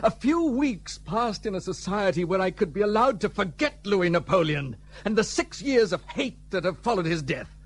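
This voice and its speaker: An actor playing an anguished, defensive British army lieutenant in a period radio drama, formal and emphatic, on a noisy recording. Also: male voice, high voice, sing-song, normal speed, few pauses. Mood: bitter, anguished.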